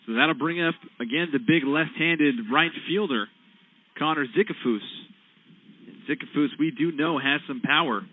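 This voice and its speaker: A man calling college baseball as radio play-by-play announcer, describing each pitch in a measured way. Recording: tinny narrow-band radio audio.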